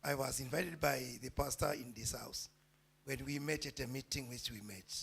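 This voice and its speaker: A man, a reverend, preaching to a gathering with emphatic, loud rising declarations. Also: mid-range voice, moderate pace, gravelly, breathy edge. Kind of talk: preaching address.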